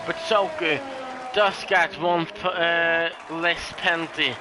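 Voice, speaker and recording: A man's voice: fairly high pitch, steady tone, slow pace, noisy background. A man with a Norwegian accent, a second-language English speaker, doing solo live commentary of a sim race, hesitant with many fillers and self-corrections.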